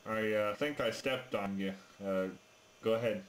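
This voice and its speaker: Hesitant low-voiced man on ham radio. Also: a little hiss or hum, low-quality recording. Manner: hesitant, halting. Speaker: amateur radio operator. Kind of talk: casual ham radio chat.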